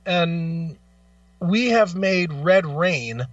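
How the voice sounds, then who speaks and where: A man's voice: a little high, expressive, drawn-out words, a bit bright, no room sound, recorded from a distance, lo-fi sound. A man co-hosting a radio talk show, giving opinions in a casual, blunt, profane way.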